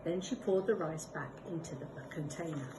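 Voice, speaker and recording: A woman, a primary school teacher, reading a picture book aloud with expressive storytelling and little asides. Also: low-pitched, moderate pace, noisy background.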